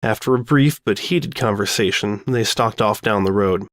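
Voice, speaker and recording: A man's voice: fairly low pitch, moderate pace, no room sound, studio quality. A man reading fan fiction as scripted story narration.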